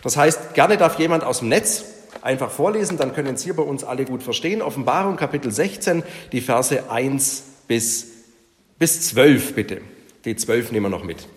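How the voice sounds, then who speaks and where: A man's voice: mid-range voice, lively intonation, normal speed. A man leading a Bible study, teaching a group in an informal, interactive way. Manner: informal, questions the group and repeats their answers. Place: reverberant room with a group present. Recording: echoey hall with background noise.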